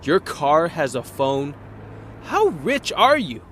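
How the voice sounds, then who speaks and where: Awkward teen boy, acted by a man, high-pitched male voice, hesitant and defensive, audio drama. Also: wildly swooping pitch, slow, fairly bright tone, slight background noise, full character voice. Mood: incredulous. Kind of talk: audio drama character acting.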